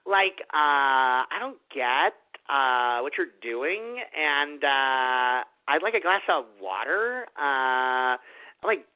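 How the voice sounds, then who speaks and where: A woman from Venezuela calling a radio show, speaking hesitantly with many pauses and fillers. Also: extremely low pitch, expressive. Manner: hesitant, halting, full of ums. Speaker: caller. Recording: tinny phone line.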